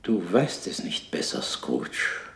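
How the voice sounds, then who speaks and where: A man's voice: takes it slowly, a bit bright. A man playing the solemn, haunting ghost of a dead business partner in a radio drama. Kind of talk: 1960s German radio drama acting. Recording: echoing, reverberant ghost voice.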